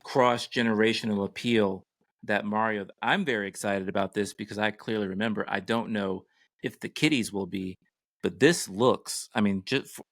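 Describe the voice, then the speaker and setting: A middle-aged man hosting a podcast in a casual, measured way, pausing between phrases. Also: lowish voice, no echo. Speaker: podcast co-host.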